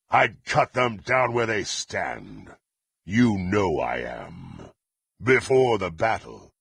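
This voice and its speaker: A proud, bloodthirsty warrior from a fantasy video game. His lowish voice is rough and gravelly, swinging widely in pitch as he delivers imperious threats in formal, archaic phrasing. The recording is dry and close. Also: fairly bright tone, no echo, heavily acted. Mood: brooding. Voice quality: gravelly, husky. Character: menacing, proud, bloodthirsty warrior serving a dark patron.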